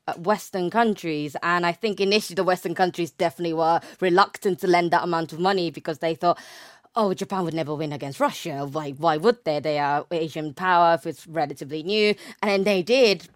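A woman's voice: fairly low pitch, dry recording, studio quality. A young woman speaking as a guest expert, explaining conversationally and often searching for the right words. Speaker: guest expert, PhD researcher in war studies.

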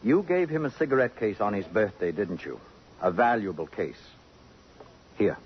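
Smooth-spoken, sardonic society snob, muffled radio. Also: male voice, lowish voice, very animated, moderate pace, background noise. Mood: insistent, cutting. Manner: arch, precise, pompous diction. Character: suave, sardonic, possessive society man recounting his story. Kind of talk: 1950s radio drama acting. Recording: muffled vintage radio broadcast.

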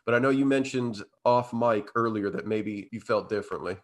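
A man co-hosting a podcast in a casual chat, slightly hoarse and blocked up, rambling with lots of fillers and restarts. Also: lowish voice, normal speed. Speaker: podcast co-host.

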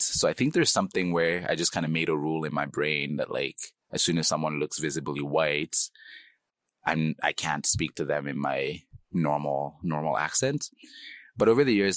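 A man's voice: very deep voice, no room sound, studio quality. A young man from Nigeria with a Canadian English accent and Nigerian traces, talking casually and hesitantly in an interview, with many likes and yeahs.

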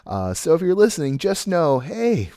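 A man hosting a podcast, hoarse and tired, talking in a rambling way with many restarts and asides. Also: on the high side, big pitch swings, normal speed, mellow, dry recording, studio quality. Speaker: podcast host. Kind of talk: casual chat and solo monologue.

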